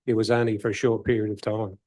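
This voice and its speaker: A man from Australia, a councillor explaining a committee decision in a rambling, halting way. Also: lowish voice, little pitch movement, warm tone, no room sound. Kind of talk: council meeting debate.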